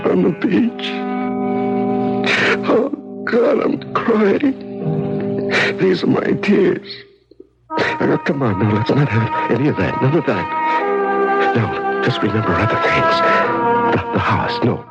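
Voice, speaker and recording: An actor playing a terrified male prisoner unraveling alone in a dark cell, halting and agitated, muttering to himself in a radio drama. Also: on the high side, wildly swooping pitch, creaky, highs cut off, loud music behind the voice, full character voice. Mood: anguished. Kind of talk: old-time radio drama acting.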